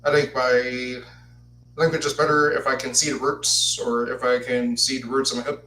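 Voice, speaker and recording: Man, 20s, stutters with restarts, flat monotone, bright voice, echoey room with mic at a distance. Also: medium pitch, normal speed, slight background noise. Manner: stutters, repeats words and restarts. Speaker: podcast host. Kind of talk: solo podcast talk, partly read aloud.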